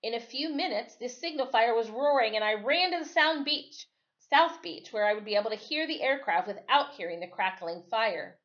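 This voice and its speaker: A woman reading a story aloud in an expressive, dramatic storytelling way, like a teacher. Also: fairly high pitch, moderate pace. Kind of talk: read-aloud storytelling with casual asides. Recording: dull, lo-fi sound.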